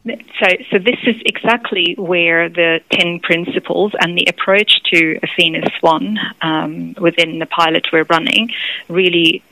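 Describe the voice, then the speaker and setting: Gravelly low-voiced woman. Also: steady tone, sharp tone, rough recording. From Middle East. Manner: measured, deliberate, speaks in long policy explanations. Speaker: interview guest, gender equity program lead. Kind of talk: explanatory radio interview answers.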